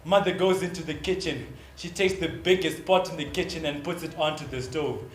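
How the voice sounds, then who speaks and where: A man's voice: on the high side, fairly quick. A man performing an intense, emotive theatrical monologue as a child narrator, in short emphatic phrases. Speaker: stage actor narrating as a child. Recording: roomy stage recording with faint noise.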